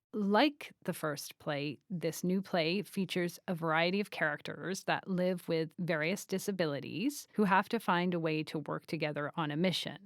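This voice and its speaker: A woman's voice: sits low, no room sound, clean studio sound. A woman hosting a podcast, giving scripted introductions and interview questions. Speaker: podcast host, director and playwright. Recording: music under the voice.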